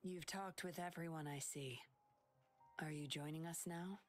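An actress playing a sly, secretive young woman patroller in an anime-style video game, cool, measured and teasing. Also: deep voice, steady tone, soft background music. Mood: coolly probing.

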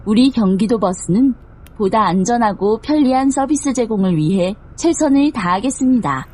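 Female bus announcer, high but mellow voice, polite scripted formality, slight noise. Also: expressive, moderate pace. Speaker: bus passenger-notice announcer.

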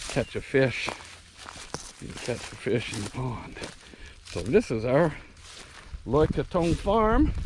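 Slow-talking man joking outdoors. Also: mid-range voice, darkish tone, slight background noise. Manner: joking, easygoing commentary. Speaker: vlogger. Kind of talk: casual vlog narration. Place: outdoors on a rural farm. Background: faint rural outdoor ambience.

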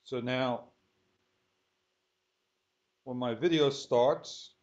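A man hosts an unscripted screen tutorial at a slow pace. He speaks in short, halting phrases, thinking aloud as he goes. His mid-pitched voice is captured in dull, lo-fi sound. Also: darkish tone. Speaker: software tutorial host.

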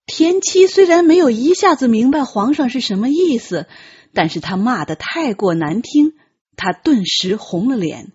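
A woman narrates an audiobook with dramatic, lively intonation. Her voice is quite husky, and the recording is slightly dull. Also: on the high side, normal speed.

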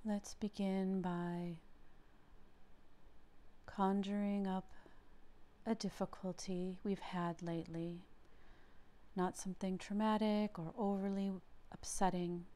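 A woman leading a guided meditation as a therapist, speaking gently in short phrases with long pauses. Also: mid-range voice, fairly even intonation.

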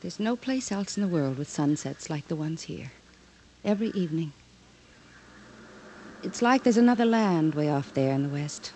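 A woman's voice: low-pitched, animated, moderate pace, pauses often, warm tone. An actress playing a lonely, world-weary, guarded young woman in a 1950s radio drama, on a noisy old recording. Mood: dreamy, lonely. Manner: wistful, evasive.

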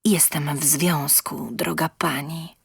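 A woman reads the part of a courteous gentleman in an audio story. He speaks in a lowered, quiet voice, formal and measured, with calm reserve. The sound is dry and close. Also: gravelly, slightly rough, dry recording, heavily acted. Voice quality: low timbre. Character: gentlemanly calm man, polite, reserved stranger. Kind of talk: audio short story dialogue.

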